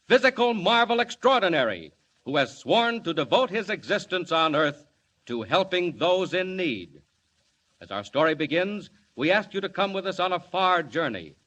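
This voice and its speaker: A man's voice: sits high, sharp tone. A man with an American accent narrating a 1940s radio serial in a grandiose, theatrical, emphatic announcer's style. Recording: vintage 1940 radio broadcast.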